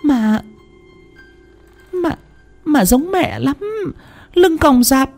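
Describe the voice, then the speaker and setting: A man's voice: sits high, sing-song, normal speed, warm tone, slightly rough, faint music behind, voice pushed up, exaggerated voice. A man voicing a terrified young wife who stammers and repeats her words in panic.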